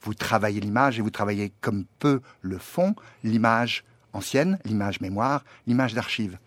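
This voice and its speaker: A man's voice: lowish voice, normal speed, a bit bright, no room sound. A man in his sixties asking long, formal, winding questions, courteous and hesitant, with many fillers. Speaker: guest questioning a filmmaker.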